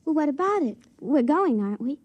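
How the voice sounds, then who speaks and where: An actress playing a tender, trusting young woman in a 1950s radio drama, speaking softly and hesitantly. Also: high-pitched, very animated, fairly quick, no echo. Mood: hopeful. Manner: hesitant, earnest.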